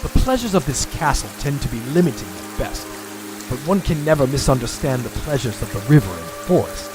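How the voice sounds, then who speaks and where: A man's voice: medium pitch, big pitch swings, fairly quick, over music, natural voice. An actor playing a worried, noble king in a fantasy audio drama, speaking in formal archaic phrases. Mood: courteous, reflective.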